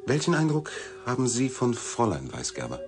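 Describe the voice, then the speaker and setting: An actor playing a young newspaper journalist in a German radio drama, casually inquisitive and wryly polite. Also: male voice, medium pitch, huge pitch swings, a little fast, over music. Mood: probing, polite. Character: probing, wry young male newspaper journalist.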